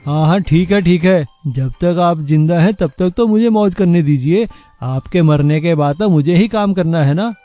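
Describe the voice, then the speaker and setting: A man voicing a lazy, carefree young village son in an animated moral story. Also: a little high, moderate pace, no room sound, faint music behind, lightly acted. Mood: cocky, dismissive.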